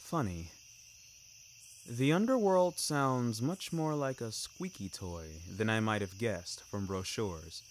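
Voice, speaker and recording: A man's voice: fairly low pitch, animated, slight background noise. A man narrating scripted dramatic fiction in a wry, literary manner. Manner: wry, literary, deliberate phrasing. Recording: music bed behind narration.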